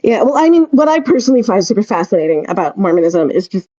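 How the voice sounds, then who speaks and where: A woman with a soft-edged, mellow voice chats casually as a podcast co-host. She rambles and halts as she tells things. The sound is dry and slightly dull, with a lo-fi feel. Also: medium pitch, sing-song, no echo. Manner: rambling, halting storytelling. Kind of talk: casual chat.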